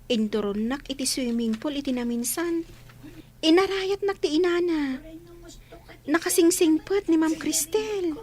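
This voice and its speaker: A woman's voice: high voice, a little slow, darkish tone. A woman acting a polite, kind-hearted young working student in a Filipino radio drama. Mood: chatty.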